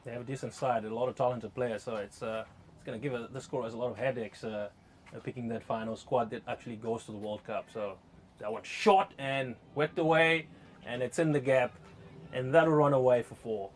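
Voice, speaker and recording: A man's voice: medium pitch, fairly even intonation, a little fast. Zimbabwean guy on cricket commentary, lots of uhs, slight noise in the background. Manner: hesitant, many uh fillers, laughs easily. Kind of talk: live sports commentary.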